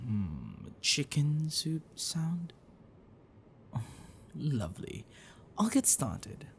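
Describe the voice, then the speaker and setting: A man voicing an affectionate, protective, bashful dragon boyfriend in a soft, breathy, hesitant ASMR roleplay. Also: mid-range voice, a little hiss or hum, heavily acted.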